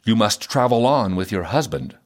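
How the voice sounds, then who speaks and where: A stern old fairy-tale king, put on by a storyteller, commanding and unyielding. He hands down his orders firmly, with emphatic rises and falls in pitch. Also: sing-song, normal speed. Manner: commanding, firm. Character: stern, angry old king, unbending father.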